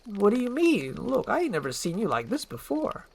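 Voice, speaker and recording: A woman voicing an excitable, chatty male donkey sidekick who is blunt and easily spooked, from an animated film script. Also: mid-range voice, moderate pace, breathy edge, pitched above the performer's own voice, exaggerated voice. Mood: soothing, frank. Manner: blunt, talkative, exclamatory.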